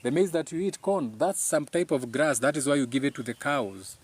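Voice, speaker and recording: A man's voice: on the high side, fairly quick, breathy edge. A man with a Kenyan accent and Kikuyu as his first language, speaking informally as the host of a live talk, emphatic and preachy, often repeating his phrases.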